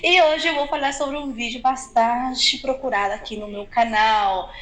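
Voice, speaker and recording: A woman's voice: on the high side, moderate pace, a bit bright, poor audio. A woman from Venezuela with a Venezuelan accent, a native Spanish speaker, chatting casually and directly to her viewers as a video blogger. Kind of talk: casual chat to camera.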